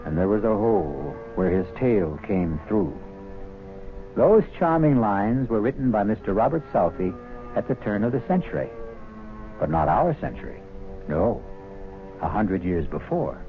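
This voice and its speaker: A man narrating a radio mystery as host, with a theatrical, wry and measured delivery. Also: on the low side, sing-song. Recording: tinny old radio broadcast. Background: music.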